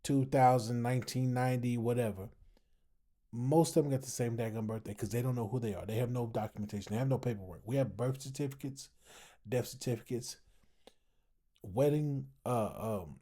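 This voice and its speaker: Tired american guy hosting, mellow voice, medium pitch, casual opinion talk. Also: brisk. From United States, family from Texas. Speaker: host commenting on an online discussion.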